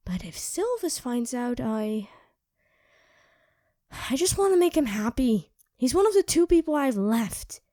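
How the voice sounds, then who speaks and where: A woman voicing an irritable young female vampire who craves blood, speaking in a sharp, sarcastic way and often dropping to a whisper. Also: high-pitched, big pitch swings, unhurried, dry recording, studio quality. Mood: craving. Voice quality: drops into soft whispers. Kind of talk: ASMR roleplay.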